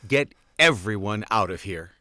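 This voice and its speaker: An actor playing a terse, dutiful park security boss with a curt, commanding manner in an audio drama. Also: male voice, lowish voice, animated, a little slow, bright, forceful tone, no echo, clean studio sound. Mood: urgent command.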